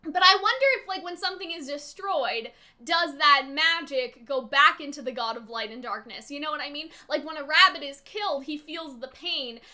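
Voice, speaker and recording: A woman with a high, bright voice hosts a casual analysis of a story. She talks in an informal, chatty way, often seeking agreement as she works through her ideas. Her delivery is animated and forward. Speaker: online video host analysing animated series lore. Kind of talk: casual fan analysis and discussion.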